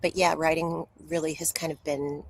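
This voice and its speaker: A woman with a slightly low-pitched voice answers as an interview guest. She tells personal stories candidly and openly, like a writer happy to share everything. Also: flat delivery, normal speed, a little hiss or hum, poor audio. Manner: candid, open oversharer.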